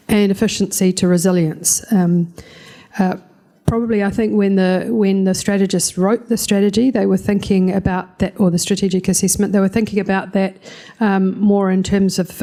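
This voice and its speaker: A woman chairing a conference panel, speaking in a measured way with many ums and uhs. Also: on the low side, warm tone.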